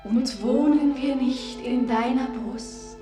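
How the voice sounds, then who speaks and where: Flower spirit in a radio play, high and slow, loving, luring, music behind. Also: female voice. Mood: tender, enraptured. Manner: lyrical, enticing, incantatory. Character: loving nature spirits of flowers, fire and springs, female. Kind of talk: poetic German radio drama acting. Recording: echoey studio with music behind. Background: music with violin.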